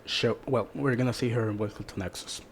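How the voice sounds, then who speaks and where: A man's voice: medium pitch, steady tone, brisk, slight background noise. A man chatting casually as a co-commentator, reacting in short, offhand interjections.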